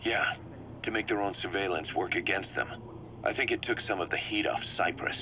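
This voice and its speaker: A man's voice: low voice, normal speed, pushed and bright. A man playing a driven, shrewd mercenary commander in a video game, briefing his boss in an emphatic, assured way. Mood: grim. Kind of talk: scripted video game dialogue, explaining plans. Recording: muffled cassette-like sound with background hum.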